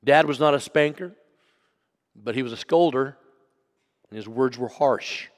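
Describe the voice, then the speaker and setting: Storytelling pastor in a reverberant church. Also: male voice, mid-range voice, a little slow. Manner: storytelling, swings from loud to hushed. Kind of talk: sermon. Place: church sanctuary.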